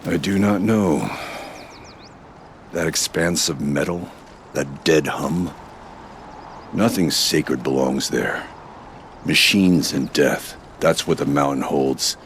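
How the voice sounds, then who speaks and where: A stern weary chieftain in a game, super deep and gravelly, talks slow and solemn. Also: male voice, a bit bright, slight background noise, full character voice. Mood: somber. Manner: solemn, measured, formal speech. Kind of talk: video game dramatic acting.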